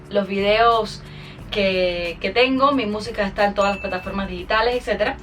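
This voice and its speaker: A woman's voice: mid-range voice, fairly bright tone, distant mic. A woman with a Cuban accent hosting her podcast in a chatty, informal monologue to her followers. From Cuba. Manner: chatty, informal, with English interjections. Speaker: podcast host and singer.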